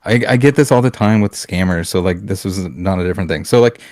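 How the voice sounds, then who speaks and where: Low-voiced blunt man ranting. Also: fast talker, a little mellow, no room sound. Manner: blunt, heated ranting with swearing and restarts. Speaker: podcast co-host. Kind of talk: casual podcast chat.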